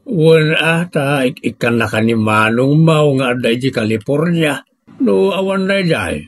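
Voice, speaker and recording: A man's voice: mid-range voice, lively intonation, unhurried. A man with a Filipino accent playing a grumbling adult family member in an audio drama, talking conversationally. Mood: concerned.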